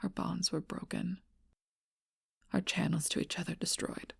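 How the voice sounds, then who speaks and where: An ancient, lonely vampire woman, whispered by a female performer breathes her words close to the mic in a slow, intimate hush. Her voice is deeply gravelly and breathy, pitched low and held flat, in a dry, close sound. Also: dry recording, exaggerated voice. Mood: mournful whisper. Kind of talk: whispered ASMR role-play.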